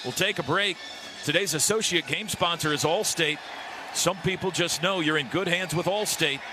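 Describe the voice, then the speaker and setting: A man's voice: fairly high pitch, moderate pace. An American man calling live football play-by-play for radio in clipped, punchy phrases. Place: outdoor football stadium. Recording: clearly noisy outdoor stadium broadcast.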